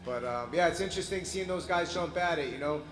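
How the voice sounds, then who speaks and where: A man's voice: fairly high pitch, brisk. A man from New England, a fighter and promoter, answering questions at a press scrum in a casual way, swearing freely and saying "man" a lot. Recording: echoey room with loud crowd noise.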